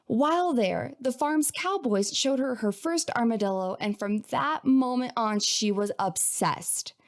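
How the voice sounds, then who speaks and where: A woman's voice: on the high side, a little mellow. A woman podcast host reading a scripted introduction in an informal, playful way.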